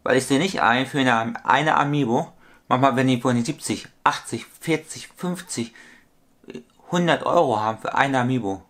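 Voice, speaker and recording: Thirties man, at-home webcam vlogger, rambling and repetitive, average pitch. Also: moderate pace, a bit bright. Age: 30s. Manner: rambling, repetitive, corrects his own sums aloud. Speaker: toy collector vlogger. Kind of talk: casual vlog monologue. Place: small room at home with shelves.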